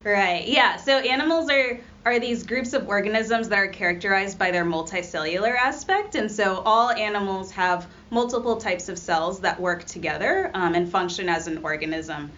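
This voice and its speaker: A woman's voice: mid-range voice, normal speed, few pauses, fairly bright tone. A young woman from Rwanda, a postdoc researcher, explaining her work in an interview with frequent ums and uhs. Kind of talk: conversational science interview. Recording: slightly dull audio with faint noise.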